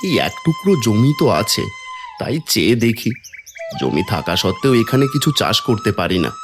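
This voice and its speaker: Guy doing a sad earnest poor farmer for a cartoon, really animated voice, music behind. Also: on the low side, normal speed. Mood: sorrowful. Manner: plaintive, earnest. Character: poor kind-hearted village farmer, sorrowful father. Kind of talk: Bengali cartoon fairy tale acting.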